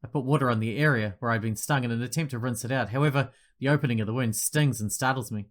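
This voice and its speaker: A man hosting a gaming video, reading a visual novel's text aloud with dramatic delivery and breaking off into quiet joking asides. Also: medium pitch, fast talker. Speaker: gaming channel host. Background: loud game music.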